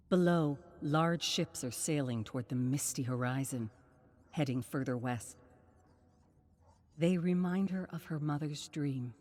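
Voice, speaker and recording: A woman reading a scripted dramatic story in a solemn, measured narration. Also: extremely low pitch, a little mellow, breathy edge. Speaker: narrator of game lore.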